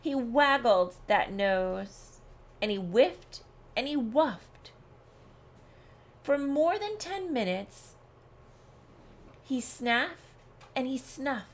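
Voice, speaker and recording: A woman narrates a children's read-along with a fairly high voice. Her pace is extremely slow and deliberate, and she reads each phrase expressively. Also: animated. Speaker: storyteller.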